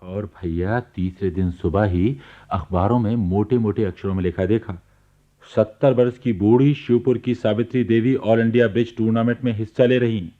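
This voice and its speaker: Actor as a low-voiced chatty storyteller, thin sound. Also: male voice, fairly even intonation, moderate pace, close to an everyday voice. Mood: amused, relating. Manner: conversational, addresses listener directly. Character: chatty male neighbour narrating the story. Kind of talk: radio play narration. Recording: thin, phone-like archive radio sound.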